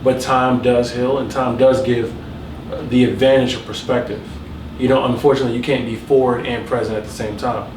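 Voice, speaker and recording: A man speaking in a measured, reflective way in a casual podcast conversation. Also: medium pitch, little pitch movement. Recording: roomy, distant mic, background noise.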